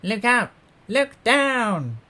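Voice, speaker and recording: A man voicing a sensitive young misfit dinosaur in a storybook, with drawn-out hooting cries. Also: very high voice, sing-song, bright, forceful tone, pitched above the performer's own voice, heavily acted. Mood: alarmed. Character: sensitive young male dinosaur, gentle misfit, plaintive hooter.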